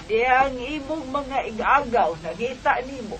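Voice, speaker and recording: A man's voice: very high-pitched, expressive, unhurried. A man with a Bisaya Filipino accent voicing a strict, protective village aunt with a firm, scolding manner in a radio drama. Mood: calling. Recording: faint background noise.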